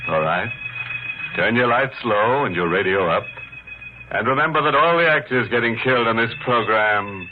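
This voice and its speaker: Macabre horror host and narrator, mock-genial and very expressive, on a tinny vintage broadcast with music. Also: male voice, mid-range voice, exaggerated voice. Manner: theatrical, mock-genial, drawn-out delivery. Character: gleefully macabre male horror host and storyteller. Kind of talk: 1950s radio horror hosting and narration.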